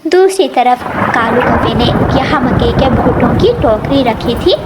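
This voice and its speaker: A woman's voice: fairly high pitch, animated, measured pace, very noisy. A woman narrating a scripted children's story.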